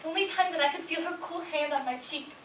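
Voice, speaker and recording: A woman performing a dramatic stage monologue as a scarred, disturbed daughter yearning for her mother, slow and hushed with sudden swells. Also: high-pitched, pitched above the performer's own voice, natural voice. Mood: chilling, mournful. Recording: distant, echoey room with faint noise.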